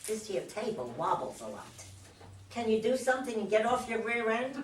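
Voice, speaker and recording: A woman reading the part of a fed-up, sharp-tongued wife and mother in an amateur stage play. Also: on the high side, sing-song, normal speed. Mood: scolding. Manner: scolding, accusatory. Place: indoor room at a live reading. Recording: echoey room, distant mic, faint noise.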